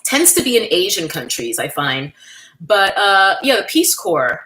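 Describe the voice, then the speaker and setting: A woman's voice: mid-range voice, sing-song, measured pace, sharp tone, poor audio. A woman from New York, hosting a casual livestream, chatting candidly and thanking viewers by name as she reads their comments. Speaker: livestream host.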